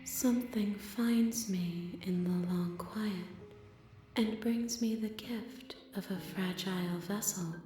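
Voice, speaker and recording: Woman voicing a slow, echoing otherworldly entity. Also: mid-range voice, fairly even intonation, warm tone, natural voice. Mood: solemn wonder. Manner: solemn, measured, poetic. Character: ancient otherworldly female entity, solemn, serene and cryptic. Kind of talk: dramatic game voice acting. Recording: echoey reverb under music.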